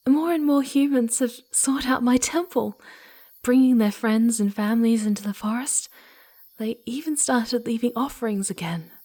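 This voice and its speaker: A woman's voice: sits high, darkish tone. A woman voicing a playful, mischievous young female fox spirit in an ASMR roleplay, with a cutesy, giggly, teasing manner.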